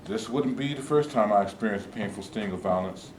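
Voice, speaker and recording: A man's voice: lowish voice, brisk, lightly acted. Man playing a cautious movement leader, echoey room. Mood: cautioning. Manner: formal, earnest, oratorical. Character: cautious male civil rights leader, principled, measured. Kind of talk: staged play reading. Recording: echoey room with background noise.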